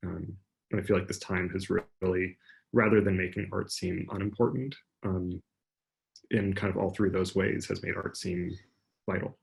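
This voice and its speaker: A man's voice: deep voice, level tone, moderate pace, vocal fry, rough recording. A man speaking as an artist on an online panel, reflecting aloud in a conversational way. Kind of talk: reflective panel discussion talk. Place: online video panel during lockdown.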